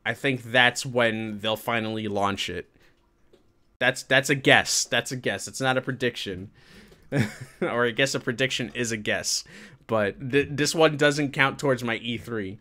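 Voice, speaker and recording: Animated man chatting on a livestream. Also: medium pitch, a little slow. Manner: animated, colloquial, reacting to chat. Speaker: livestream host. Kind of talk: casual chat with live viewers. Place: indoor room with green screen.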